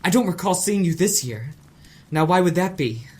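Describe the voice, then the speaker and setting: A man's voice: high voice, expressive, moderate pace, a little mellow, slightly rough. A man acting a troubled, intense young student cultist in an audio drama, curt and strained. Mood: accusing. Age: young adult.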